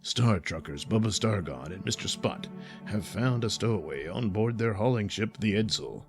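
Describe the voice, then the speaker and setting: Animated male serial narrator over music. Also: fairly low pitch, moderate pace. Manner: theatrical announcer delivery. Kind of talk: mock-serial scripted narration.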